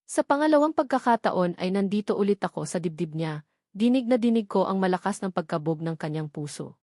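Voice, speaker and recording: A woman's voice: medium pitch, expressive, fairly quick, a little mellow, no room sound, clean studio sound. A woman with a Filipino accent reading a scripted first-person romance story as an audiobook narrator.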